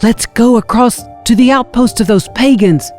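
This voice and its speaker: A woman's voice: fairly high pitch, moderate pace, a little mellow, faint music behind, pitched above the performer's own voice, natural voice. A woman voicing a bold, devout young warrior prince in an audio scripture reading, urging and confident.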